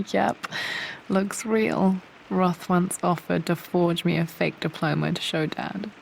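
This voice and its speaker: An actress playing a young English adventurer in a video game, softly musing to herself about her surroundings in a reflective way. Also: on the low side, takes it slowly, vocal fry, slight background noise, exaggerated voice, low-quality recording. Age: young adult. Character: young female adventurer, thoughtful, nostalgic about her family.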